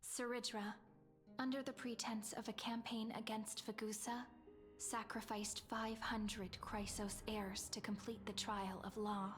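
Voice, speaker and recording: A woman's voice: a little high, flat delivery, a little slow, soft background music, close to an everyday voice. A woman playing a solemn chronicler who narrates a fantasy history in a formal manner for a video game.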